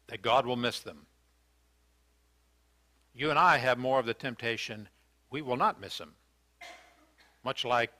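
Older man preaching with a bright voice. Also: mid-range voice. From United States. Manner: earnest, questioning, with hesitant fillers and restarts. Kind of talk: sermon. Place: church sanctuary, indoors.

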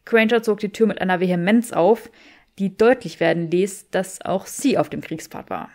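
Woman reading a story out loud like an audiobook, normal pitched voice. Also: moderate pace. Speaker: audiobook narrator. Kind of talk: scripted fan fiction narration.

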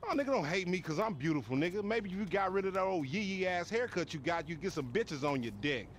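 High, swooping, brash hustler. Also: male voice, lively intonation, fast talker, slight background noise. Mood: gleeful insulting. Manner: cocky, slangy, mocking. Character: brash trash-talking young male street hustler. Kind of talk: video game cutscene acting.

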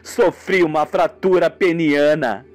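A man's voice: a little high, steady tone, a little slow, faint music behind, lightly acted. A man playing a plaintive young male patient confiding over the phone in a radio soap.

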